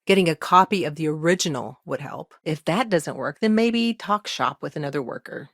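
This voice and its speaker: A woman voicing a weary, earnest lawyer in a video game, speaking in measured, articulate, formal phrases with wry asides. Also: deep voice, expressive, no room sound, clean studio sound. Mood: measured, businesslike. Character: exhausted, dry-witted woman lawyer, earnest and principled. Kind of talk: naturalistic video game dialogue acting.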